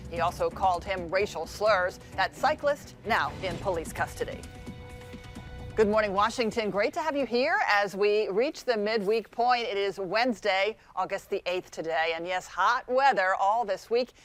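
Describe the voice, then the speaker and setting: A woman's voice: mid-range voice, fairly bright tone. An American woman working as a morning news anchor, reading in broadcast style.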